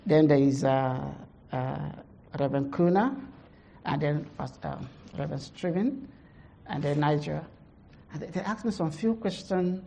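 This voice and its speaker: A middle-aged woman pastor with a heavy Nigerian accent gives a conference testimony, halting, with many fillers, laughing at herself. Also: deep voice, gravelly, dark tone, slight background noise.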